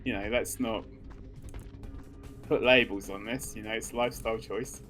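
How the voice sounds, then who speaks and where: Deadpan man commentating over clear game music. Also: fairly low pitch, normal speed. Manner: dry, deadpan mock-official humour. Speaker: let's play co-host. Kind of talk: casual joking gameplay commentary.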